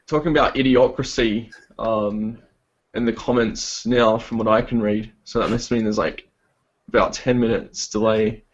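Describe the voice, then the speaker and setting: Young man, podcast guest, hesitant with many ums, flat voice, dull call audio. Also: on the low side, moderate pace. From Australia. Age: 20s. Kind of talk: casual podcast chat. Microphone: online call.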